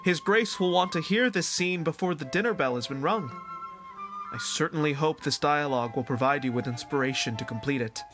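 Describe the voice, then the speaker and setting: Man acting a formal servant, slightly high voice. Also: a little fast, music in the background, lightly acted. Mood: earnest urging. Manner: formal, deferential, earnest. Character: dutiful, discreet, morally scrupulous male household servant. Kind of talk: period stage-play audio drama acting.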